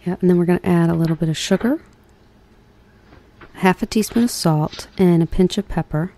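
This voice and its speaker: A soft-spoken woman hosting an ASMR cooking role play, chatting soothingly as if to a guest. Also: lowish voice, moderate pace, a little hiss or hum. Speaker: ASMR host.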